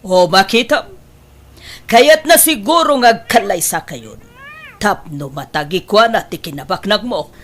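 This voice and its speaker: A woman's voice: medium pitch, expressive, unhurried, a bit bright, slight background noise. A woman acting a domineering middle-aged mother who coaxes and insists, in an emotive audio drama. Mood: scheming, firm.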